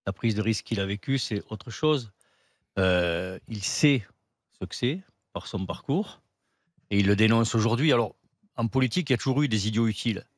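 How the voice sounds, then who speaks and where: A man's voice: on the low side, normal speed, no room sound, studio quality. A man speaking as a radio talk show panelist, blunt and colloquial, often ending phrases with a casual "quoi". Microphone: studio microphone.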